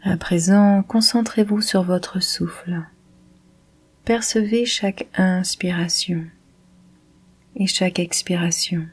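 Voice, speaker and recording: Slow-paced woman guiding meditation. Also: fairly low pitch, breathy edge. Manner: calm, measured, soothing instruction. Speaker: meditation guide. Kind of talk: guided yoga nidra meditation.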